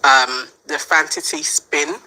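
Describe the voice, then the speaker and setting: A woman's voice: deep voice, fairly even intonation, takes it slowly, bright, forceful tone, rough recording. A woman speaking as a panel guest, pushing back on a point in a thoughtful way.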